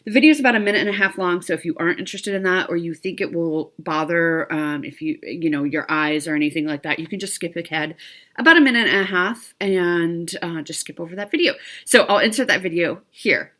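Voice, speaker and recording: A woman's voice: fairly low pitch, a little fast, fairly bright tone. A middle-aged woman chatting casually to viewers of her cross stitch vlog, a little stuffy from allergies, rambling with fillers and small laughs.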